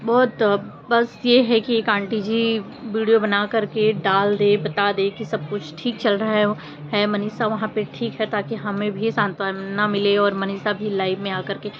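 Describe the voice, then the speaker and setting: A woman's voice: mid-range voice, little pitch movement, unhurried. A woman giving informal opinion commentary to her viewers, speaking in an earnest, reproachful, emphatic manner with rhetorical questions. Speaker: vlogger commenting on social media controversy. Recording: muffled lo-fi audio with faint noise.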